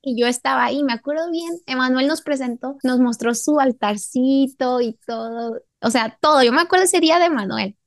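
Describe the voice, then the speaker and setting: A woman's voice: high voice, fairly quick, few pauses, no room sound, studio quality. A woman hosting a casual conversation, prompting guests and reminiscing with them. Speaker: host.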